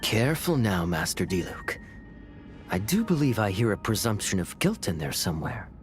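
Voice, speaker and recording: A man's voice: on the low side, sing-song, moderate pace, soft background music. A man playing a suave, sceptical knight in a video game, questioning in a pointed, sardonic way. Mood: wry, probing. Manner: smooth-talking, pointed, sardonic.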